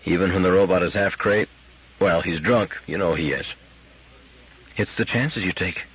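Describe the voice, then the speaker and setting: A man voicing a stolid, blunt male space engineer in an audiobook, husky and flat with a sardonic edge. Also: low-pitched, normal speed, no echo, highs cut off. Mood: blunt, protesting. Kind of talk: audiobook character dialogue.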